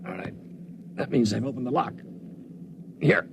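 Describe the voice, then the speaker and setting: A man's voice: mid-range voice, animated, normal speed, gravelly. A male actor playing a tense, decisive leader of captive men in a 1950s radio drama, urgent and commanding. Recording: noisy old 1950s radio recording.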